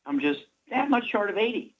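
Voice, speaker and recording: Man in his seventies, husky voice, radio host, rambling monologue, muffled broadcast sound. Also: sits high, sing-song, a little fast. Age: 70s. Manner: rambling, self-correcting. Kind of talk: radio monologue pitching supplements.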